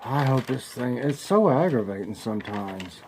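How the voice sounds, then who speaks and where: A man, a congested vlogger chatting folksily and slowly reading a devotional aloud. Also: mid-range voice, lively intonation, darkish tone, slight background noise. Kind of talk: casual home vlog with prayer and devotional reading.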